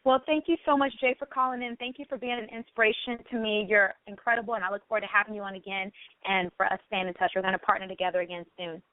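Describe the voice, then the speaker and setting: A woman's voice: medium pitch, steady tone, fast, no room sound, narrow, tinny audio. A woman hosting a radio talk show, speaking in an effusive, complimentary manner.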